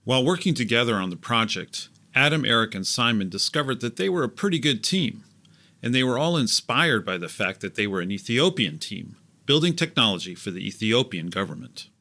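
A man hosting a podcast, reading scripted narration in a clear, even, polished way and putting questions to his guest. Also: medium pitch, fairly quick. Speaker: podcast host.

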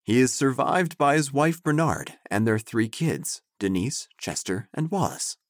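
An actor playing a wry, nosy small-town narrator, delivering formal, theatrical, deadpan comic audio drama narration over music. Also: male voice, mid-range voice, unhurried, no echo, close to an everyday voice. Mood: deadpan, solemn.

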